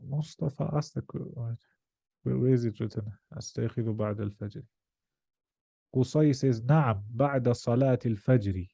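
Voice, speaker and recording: A male language teacher talks very quickly in a relaxed, dark-toned voice. He explains and corrects phrase by phrase, translating and putting questions to a student. The sound is dry and close. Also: medium pitch, creaky, no echo, muffled recording. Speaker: Arabic teacher.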